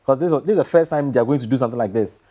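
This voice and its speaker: A man's voice: mid-range voice, rapid-fire, warm tone. A man with a Ghanaian accent answering casually as a guest in an interview.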